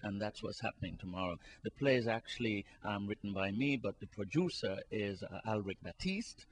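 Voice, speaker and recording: A male interview guest speaks in a conversational way. His voice is on the lower side. He explains things informally, as a local writer would in a broadcast chat. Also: a bit bright, breathy edge. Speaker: interview guest, playwright and community columnist.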